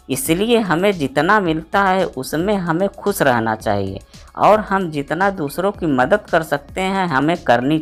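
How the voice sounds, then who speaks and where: Woman storyteller, low-pitched voice, scripted moral-story narration, faint music behind.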